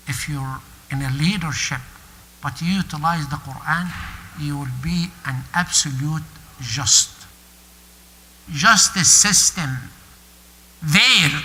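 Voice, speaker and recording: A man's voice: a little high, sharp tone, slight background noise. Man preaching in a reverberant hall. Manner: emphatic, repeats phrases for stress. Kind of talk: sermon. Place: mosque prayer hall.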